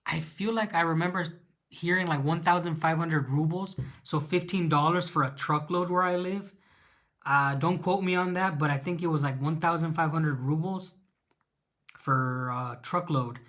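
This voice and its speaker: A man's voice: fairly high pitch, a bit bright. A man with a Mexican accent hosting a casual live Q&A, reading viewer questions aloud and answering them with fillers and restarts.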